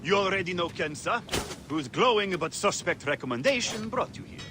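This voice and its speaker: A man's voice: high-pitched, expressive, moderate pace. A man voicing a showy, cynical gladiator boss in a video game, with a theatrical, sardonic manner. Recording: clear background noise.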